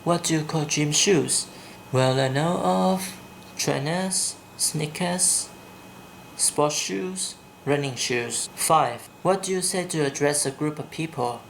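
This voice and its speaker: A man from Vietnam with a Vietnamese accent, talking slowly and deliberately in a home video blog, blunt when he gives his opinions. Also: a little high, gravelly, fairly bright tone, a little hiss or hum. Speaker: vlogger. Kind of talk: casual video blog, reading a quiz and ranting. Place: indoors at home at night, kept quiet.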